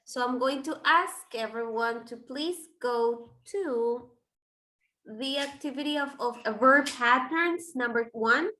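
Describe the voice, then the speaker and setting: High-voiced woman teaching an online class. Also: slow, bright, forceful tone. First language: Spanish. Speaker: English teacher. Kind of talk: online class instruction, calling on students.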